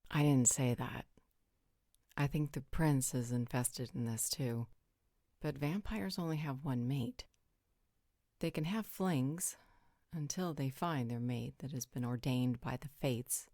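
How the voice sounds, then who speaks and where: Woman playing a mellow, low-voiced teasing vampire. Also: unhurried, dry recording, studio quality, full character voice. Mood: calm, reassuring. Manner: composed, softly teasing. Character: calm, gently teasing female vampire. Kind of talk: roleplay audio drama acting.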